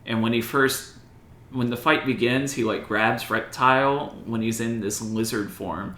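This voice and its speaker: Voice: medium pitch, a little slow, a bit bright. A podcast co-host chatting casually about movies and games, swearing and sounding mock-exasperated. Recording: roomy echo with faint noise.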